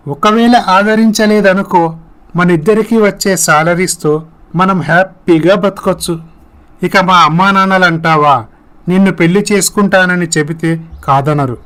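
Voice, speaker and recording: Man playing an earnest, lovelorn young man, higher-pitched. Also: a bit bright. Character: earnest, lovelorn young male office worker. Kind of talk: scripted moral story dialogue.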